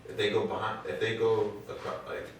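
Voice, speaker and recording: A man's voice: on the low side, completely flat delivery, brisk, slight background noise. A man speaking earnestly in a casual group chat, often restarting sentences as he explains. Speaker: guest. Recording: echoey room, mic far off.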